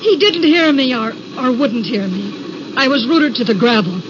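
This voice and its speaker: An actress playing a haunted woman recounting a horror in a dramatic, deliberate 1950s radio drama style. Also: on the high side, expressive, dull audio, background noise, lightly acted. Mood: haunted, grave. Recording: old band-limited radio recording, music behind.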